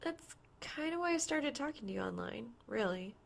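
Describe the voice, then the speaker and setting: A woman's voice: fairly high pitch, animated, normal speed, gravelly, pitched above the performer's own voice. A woman voicing a teasing, fearless young woman gamer with a girlish voice in an audiobook. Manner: casual teasing banter. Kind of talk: audiobook character dialogue.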